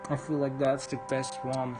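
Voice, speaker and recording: A man's voice: mid-range voice, completely flat delivery, moderate pace. A man livestreaming a visual novel, reading narration aloud informally with stumbles and casual commentary. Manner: informal, stumbling over read text. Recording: game music clearly behind voice. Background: game music.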